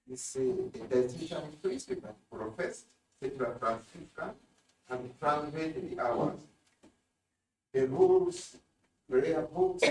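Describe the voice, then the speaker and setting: A participant speaks up from the floor with a slow, halting delivery and rising and falling intonation. The voice is distant and echoes in the room. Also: medium pitch, darkish tone, slight background noise. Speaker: workshop participant. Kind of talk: spoken report from the floor.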